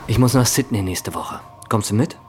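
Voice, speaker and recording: An actor playing a sceptical, rattled banker in a radio play, speaking in a hesitant, incredulous way. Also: male voice, mid-range voice, normal speed, over loud music, natural voice. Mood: calm, conspiratorial. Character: sceptical, rattled male banker drawn into temptation. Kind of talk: German radio play acting.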